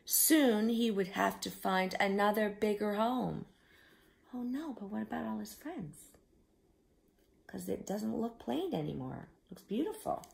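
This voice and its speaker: A woman reading a picture book aloud to young children, expressive, with simple explanatory asides. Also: medium pitch, a little slow, creaky. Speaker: children's storyteller.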